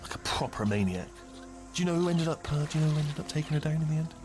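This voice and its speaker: An English actor playing a bumbling, chatty robot sidekick in a video game, rambling, stammering and correcting himself in a flustered way. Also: male voice, on the high side, lively intonation, fast talker, over music, lightly acted. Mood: gossipy. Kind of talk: animated comic video game acting.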